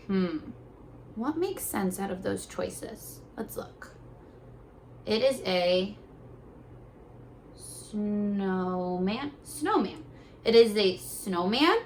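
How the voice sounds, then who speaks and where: A young woman teacher at home on her kitchen floor, reading aloud slowly and sounding out words for young children. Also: mid-range voice, a little hiss or hum. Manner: slow, encouraging, sounding out words.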